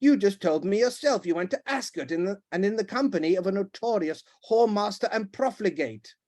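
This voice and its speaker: A man's voice: high voice, animated, a little fast, pitched above the performer's own voice. A man doing a ranting pompous old duke. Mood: furious. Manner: shouting, blustering.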